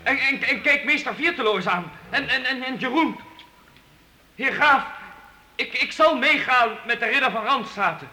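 A man's voice: extremely high pitch, animated, normal speed, bright, forceful tone, exaggerated voice. An actor playing an earnest, brave young serf boy in a Dutch radio play, pleading urgently with a stammer. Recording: very echoey reverberant hall.

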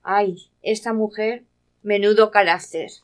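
A woman's voice: mid-range voice, steady tone, a little slow, close to an everyday voice. A woman playing a friendly, persistent, chatty neighbour in an amateur radio play. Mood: exasperated. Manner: chatty, coaxing.

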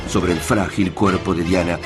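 A man's voice: low voice, fairly even intonation, moderate pace. A man narrating a radio drama from a script in a solemn, intense, dramatic manner. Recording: clear music under the voice.

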